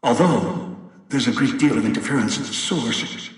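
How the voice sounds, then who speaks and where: A man's voice: mid-range voice, lightly acted. Man playing echoing, cold old mastermind. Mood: stern, menacing. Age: older. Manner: deliberate, menacing, condescending. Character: cold, obsessive, manipulative old male mastermind. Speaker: villain giving orders over a radio. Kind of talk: video game voice acting, monologue. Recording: very echoey, long reverb, distant.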